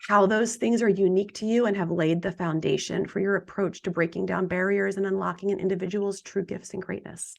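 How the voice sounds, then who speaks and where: A woman hosting a podcast, reading a measured, gently enthusiastic introduction and interviewing her guest. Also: mid-range voice, steady tone, gravelly. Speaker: podcast host.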